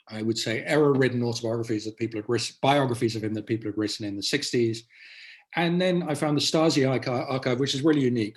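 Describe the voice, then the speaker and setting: A male author giving a talk about his book in an anecdotal, explanatory style. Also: mid-range voice. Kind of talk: presenting to an audience, anecdotal.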